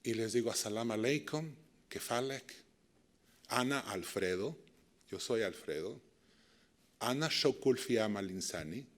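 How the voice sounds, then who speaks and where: A man's voice: fairly low pitch, animated, unhurried, long pauses. A middle-aged man from Chile with a Chilean accent, giving a master class and telling a story to a live audience. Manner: warm anecdotal storytelling with direct questions to the audience.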